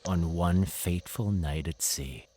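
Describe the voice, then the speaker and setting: A man's voice: low-pitched, measured pace, mellow, slight background noise. An actor playing a young man telling a scary sea tale in a theatrical, suspenseful manner. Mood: ominous, suspenseful. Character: male student spinning a nautical horror tale. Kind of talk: dramatic scary-story narration. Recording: dramatic music under the narration.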